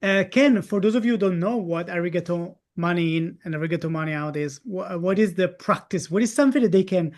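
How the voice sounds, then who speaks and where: A man's voice: sits high, brisk, hardly any pauses, a little mellow. A man hosting a live webinar, upbeat and chuckling as he asks guests questions over a video call. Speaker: host.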